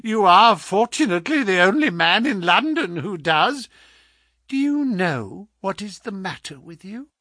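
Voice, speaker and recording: A man's voice: high-pitched, very animated, measured pace, pushed and bright, no room sound, clean studio sound, voice pushed up, exaggerated voice. A man voicing a gloating, sneering villain in an audiobook story, with an insistent, mocking manner. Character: gloating, sneering villain visiting a sick enemy. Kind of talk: audiobook character acting.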